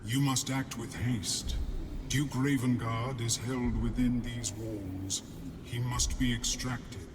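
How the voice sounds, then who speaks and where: Actor as slow, cold telepathic creature, reverberant. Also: male voice, medium pitch, steady tone, natural voice. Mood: cold urgency. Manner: clipped, formal, measured. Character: cold, formal, urgent telepathic male creature. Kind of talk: video game creature acting. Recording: long reverb, noisy ambience behind.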